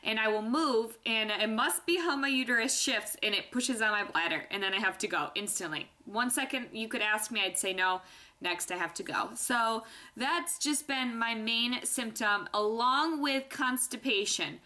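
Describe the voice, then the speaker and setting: Chatty bright-voiced young woman vlogging. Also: a little high, moderate pace. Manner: chatty, candid, confiding. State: stuffy nose. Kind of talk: casual pregnancy vlog chat.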